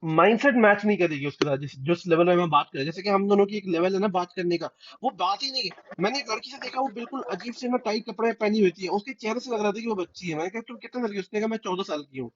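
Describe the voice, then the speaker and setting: High-voiced man chatting on a video call. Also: quick pace, hardly any pauses. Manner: agreeable, laughs often, animated storyteller. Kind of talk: casual video chat. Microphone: video call mic. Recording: dull lo-fi video call audio.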